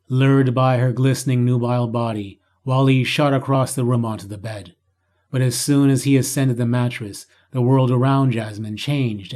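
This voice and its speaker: A man's voice: mid-range voice, a little mellow. A man reading scripted story narration as an author performing his own audiobook.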